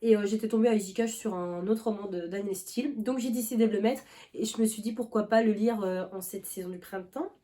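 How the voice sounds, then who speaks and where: A woman's voice: medium pitch, brisk, warm tone. A young woman vlogging about books in a loose, rambling chat, full of fillers and restarts, with a cough now and then. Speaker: book vlogger. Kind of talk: casual chat.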